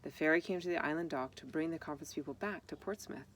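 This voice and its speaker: A woman's voice: deep voice, fast talker, fairly bright tone, slight background noise. A woman reading a children's picture book aloud, explaining things simply and speaking directly to young listeners. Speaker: storybook reader.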